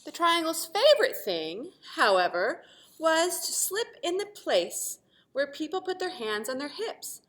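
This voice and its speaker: Lady teacher doing a kids read-aloud, very lively up and down voice, stops to ask questions. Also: high-pitched, measured pace. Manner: animated, asks the listeners questions. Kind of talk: expressive children's read-aloud with simple explanations.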